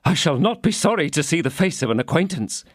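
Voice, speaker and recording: A man voicing a frightened, fawning tradesman who pleads in a shrill, flustered voice. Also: very animated, brisk, dry recording, clean studio sound. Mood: insistent. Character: frightened fawning middle-aged male mercer.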